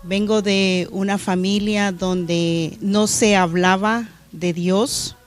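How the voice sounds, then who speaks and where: A middle-aged woman pastor from Honduras, reflecting earnestly on her faith in a studio interview, pausing with fillers. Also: medium pitch, steady tone. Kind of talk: testimony interview. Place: church media studio.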